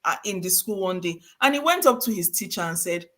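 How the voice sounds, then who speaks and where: A woman's voice: fairly low pitch, expressive, fairly quick, fairly bright tone. A middle-aged woman from Nigeria, hosting a live-streamed conversation and telling stories in an earnest, emphatic way. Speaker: host of a live session.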